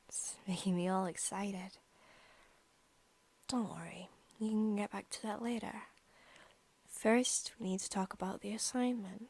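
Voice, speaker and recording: A young woman playing a possessive female vampire student in an intimate ASMR roleplay, softly spoken, partly whispered, coy and taunting. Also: medium pitch. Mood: menacing, excited. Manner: soft, slow, coy talk sliding into taunting. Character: female student vampire, possessive, sweet-talking, menacing predator.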